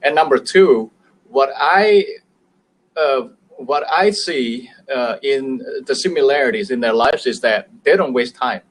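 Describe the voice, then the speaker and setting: Indonesian-accented man, halting and chuckling. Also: medium pitch, rough recording. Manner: hesitant, many fillers and restarts, chuckles. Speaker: business show guest expert. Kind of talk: casual business talk show chat.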